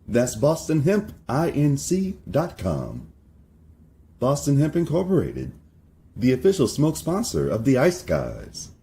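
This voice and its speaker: A man reading a scripted sponsor ad with a lively promo delivery and rapid-fire legal disclaimers. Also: mid-range voice. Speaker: ad announcer. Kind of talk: scripted ad read.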